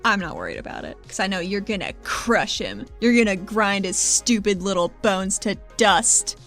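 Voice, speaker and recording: A woman's voice: medium pitch, sing-song, moderate pace, a bit bright, faint music behind. A woman playing a doting, fiercely supportive daughter of nearly thirty in a tabletop game, teasing and emphatic. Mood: encouraging, fierce.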